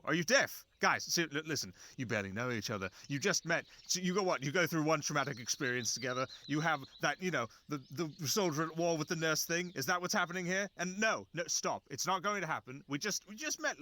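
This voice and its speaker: Man playing a jealous, bright-voiced fox familiar. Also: on the high side, very animated, fast talker, hardly any pauses. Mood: indignant, jealous. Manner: exasperated, sarcastic, stammering protests. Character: jealous male fox familiar, sarcastic, fussy and dramatic. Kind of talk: fantasy ASMR roleplay acting.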